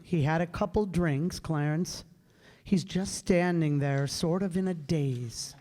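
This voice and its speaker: An actor playing a calm, authoritative senior angel in a radio drama, speaking in a measured, unhurried way. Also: male voice, fairly high pitch. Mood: calm, grave. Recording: echoey hall, music behind.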